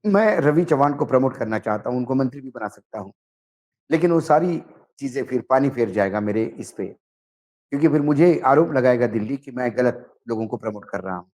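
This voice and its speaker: A man giving political commentary, speaking emphatically and deliberately in short phrases as he recounts dialogue. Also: mid-range voice, animated, darkish tone. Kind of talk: political commentary, narrating and translating a reported conversation.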